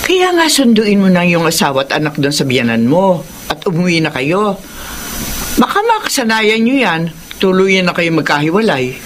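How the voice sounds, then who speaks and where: Nagging older mother for radio drama: anxious, extremely swooping pitch, noisy background. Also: very animated, moderate pace. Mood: admonishing. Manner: alarmed, nagging. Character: anxious, scolding older mother of grown son. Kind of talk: Filipino radio drama acting.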